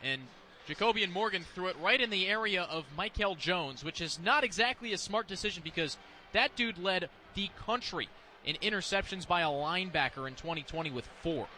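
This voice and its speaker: An American man gives live football analysis as a color commentator. His voice is high and very sharp, forceful in tone, with an explanatory, conversational delivery. Faint ambient noise sits behind him. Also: fairly quick.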